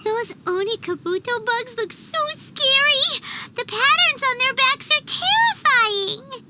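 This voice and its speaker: Tiny bossy fairy sidekick for a game: squeaky, very high, unhurried delivery, loud noise behind. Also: female voice, animated, full character voice. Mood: excited scheming. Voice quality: squeaky, childlike. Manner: exclamatory, refers to self in third person. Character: tiny excitable childlike fairy companion, cute and bossy. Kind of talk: video game character acting.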